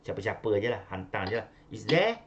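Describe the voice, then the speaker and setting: A man's voice: lowish voice, sing-song, fast talker, muffled recording, heavy background noise. A man with a Malaysian accent teaching an online English class, repeating phrases and correcting stress, switching into Malay. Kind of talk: online class coaching pronunciation.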